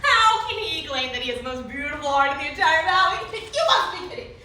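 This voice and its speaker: A woman's voice: high voice, lively intonation, brisk, sharp tone. A woman playing a proud, boastful, mocking young man in a theatrical storybook piece. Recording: very echoey room, distant mic.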